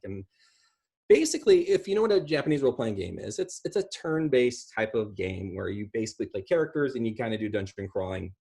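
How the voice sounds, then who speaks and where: A middle-aged man with an American accent and a slightly husky voice, talking casually and off the cuff in an animated, rambling way. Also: medium pitch. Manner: animated, gesturing, rambling with asides. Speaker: video host discussing video game films. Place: small room at home, indoors.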